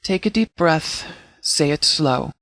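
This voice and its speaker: A man playing a stern, protective post-apocalyptic sheriff in a video game, speaking in a formal, deliberate, fatherly way. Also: on the high side, lively intonation, a bit bright, no room sound, exaggerated voice. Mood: fatherly, reassuring. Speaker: video game mod character actor. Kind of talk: scripted game dialogue.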